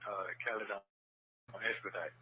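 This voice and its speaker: A man's voice: fairly low pitch, steady tone, moderate pace. A man chatting casually on a recorded jail phone call, slangy and repeating himself. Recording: noisy, tinny recorded jail phone line.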